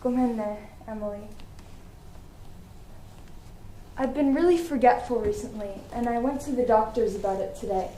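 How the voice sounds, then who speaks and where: Echoey, high-voiced actress as a tender mother. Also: fairly quick, dark tone, close to an everyday voice. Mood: tender, apologetic. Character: tender, forgetful mother devoted to her daughter. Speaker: student actor in a one-act play. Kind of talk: dramatic monologue, reading diary entries. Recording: echoey hall, distant mic, faint noise.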